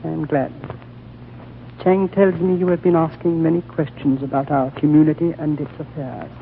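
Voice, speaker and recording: A man playing an ancient, gentle, solemn lama in a 1940s radio drama, speaking in a slow, courtly, measured way. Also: a little high, steady tone, creaky. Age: older. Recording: old narrow-band radio recording, faint noise.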